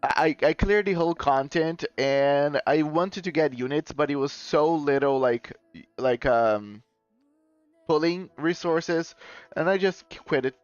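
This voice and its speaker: A man's voice: a little high, unhurried, clear, resonant tone, no room sound. Guy streaming and rambling to chat, keeps correcting himself, kinda dull sound, little music under it. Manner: rambling, self-correcting, chuckling. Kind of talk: casual live-stream chat with viewers.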